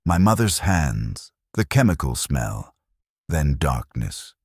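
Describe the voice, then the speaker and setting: A man narrating as a stoic, detached soldier in a scripted sci-fi story, speaking in precise, deadpan, short clipped sentences. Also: rumbling low, husky, no echo, studio quality, exaggerated voice. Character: stoic, detached male soldier recounting being buried alive.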